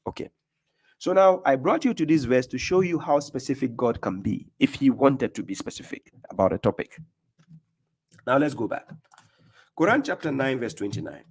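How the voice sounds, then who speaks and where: A man's voice: medium pitch, expressive, moderate pace, breathy edge. Emphatic Ghanaian man preaching. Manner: emphatic, repeats phrases, often asks "do you get my point?". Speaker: Islamic preacher. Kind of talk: informal religious teaching.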